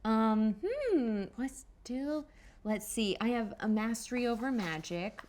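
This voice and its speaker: A woman playing a tabletop roleplaying game, casual and playful, thinking out loud as she plans her moves. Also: on the high side, animated, takes it slowly. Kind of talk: casual improvised game play.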